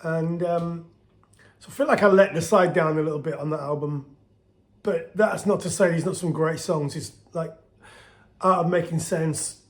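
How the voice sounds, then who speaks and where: A middle-aged man with a soft voice, casually recalling his band's history in a chatty, rambling way full of restarts and fillers. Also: on the high side, normal speed. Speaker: band singer telling its history. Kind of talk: casual spoken storytelling to camera.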